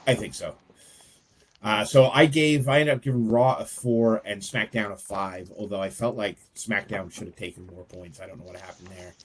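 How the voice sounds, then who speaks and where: A man's voice: fairly low pitch, fairly even intonation, moderate pace, breathy edge. A man co-hosting a wrestling podcast in casual chat, talking hesitantly and restarting often. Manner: hesitant, many fillers and restarts. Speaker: podcast co-host.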